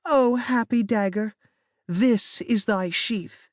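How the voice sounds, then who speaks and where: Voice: medium pitch, takes it slowly, no room sound, clean studio sound, pitched above the performer's own voice, close to an everyday voice. A narrator voicing a bookish, easily frustrated preteen girl with a theatrical, exasperated manner in an audiobook. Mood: melodramatic. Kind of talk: acted character dialogue in a children's audiobook.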